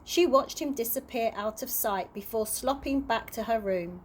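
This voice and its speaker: A woman reading a children's storybook aloud in an expressive storytelling manner, with small stumbles. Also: fairly high pitch, normal speed, a little hiss or hum. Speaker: early years practitioner reading stories.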